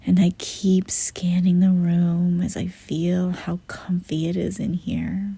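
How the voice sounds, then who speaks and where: Slow, breathy, half-whispering woman guiding meditation. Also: on the low side, flat delivery, no echo. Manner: gentle, unhurried, with pauses mid-sentence. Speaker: guided meditation narrator. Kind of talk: soft-spoken guided visualization meditation.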